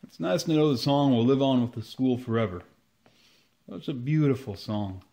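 A man's voice: medium pitch, normal speed, dark tone, natural voice. A man playing a sentimental, easily offended elderly landowner, plain-spoken and courtly, in an old-time radio comedy.

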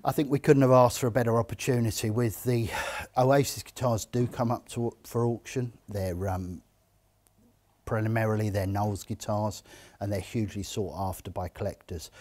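A middle-aged man who speaks for an auction house, answering interview questions with enthusiasm and measured pauses, in an indoor showroom. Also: on the low side, steady tone, a little mellow. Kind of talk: interview answers about collectibles.

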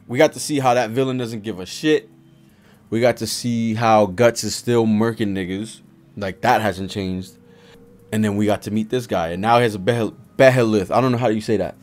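A young American man giving casual, slangy, profane live commentary as he reads along. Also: medium pitch. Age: young adult. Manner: animated, slangy, profane reactions. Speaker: streamer reacting to a manga. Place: home studio room with streaming setup. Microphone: desk microphone close by.

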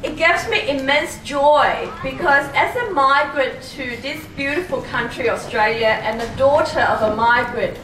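A woman with a high voice speaks into a handheld mic on a club hall stage, measured and earnest, English being her second language. The sound is echoey and distant, caught by a camera far back with a faint hiss. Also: a bit bright. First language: not English. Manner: measured, earnest, with some hesitations. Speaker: politician giving a speech. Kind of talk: formal ceremony speech.

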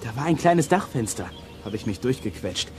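A man's voice: medium pitch, very animated, moderate pace, darkish tone, a little hiss or hum, close to an everyday voice. An actor playing a defiant, hot-tempered boy in a children's audio drama, cheeky and emphatic. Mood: excited recounting.

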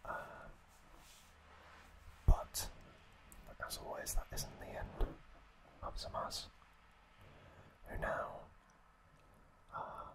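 A man whispering an ASMR story recap in a hushed, unhurried, hesitant way as the host. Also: very noisy.

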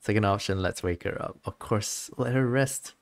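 Casual game streamer, creaky mid-pitched voice, chatty commentary mixed with read-aloud dialogue. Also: normal speed.

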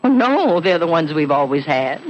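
An actress playing a gracious, intent and quietly uneasy middle-aged American housewife in a radio mystery drama. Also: female voice, sits low, very animated, moderate pace. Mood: puzzled, uneasy. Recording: dull, noisy old radio broadcast.

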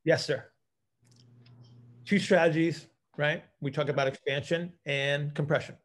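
A man with a slightly high voice talks as a coach on a video call. He explains things casually, stopping and starting and repeating phrases as he thinks aloud. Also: steady tone, unhurried. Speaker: coach hosting a group call. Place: indoors on a group video call.

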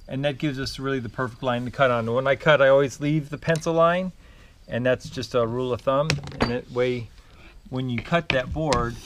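Relaxed young man explaining DIY steps. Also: medium pitch. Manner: relaxed, practical, explains step by step with small restarts. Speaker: DIY home improvement host. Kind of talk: casual how-to narration while working. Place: outdoors on a driveway.

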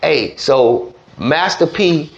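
A man with a Louisiana accent hosting a casual interview, slangy and quick to echo answers, in a dull studio recording. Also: a little high, big pitch swings, gravelly. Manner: slangy, echoes answers with short affirmations. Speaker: podcast host interviewer. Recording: dull, muffled studio sound.

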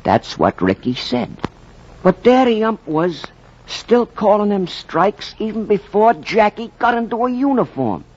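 An actor playing a slangy, folksy baseball fan who narrates theatrically in a 1940s radio drama. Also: male voice, sits high, sing-song, unhurried, a little mellow, full character voice. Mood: wry, colorful. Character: slangy, colorful storyteller, wisecracking baseball fan. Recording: dull, faintly noisy 1940s radio recording.

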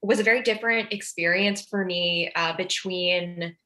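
A woman speaking as a podcast guest in a casual interview, thoughtful and pausing often as she explains. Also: on the low side, fairly even intonation, sharp tone. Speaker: podcast guest, audio drama director and writer.

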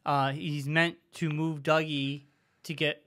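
A man's voice: on the high side, level tone, slow, a bit bright. A man co-hosting a podcast in casual, conversational chat. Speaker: podcast co-host.

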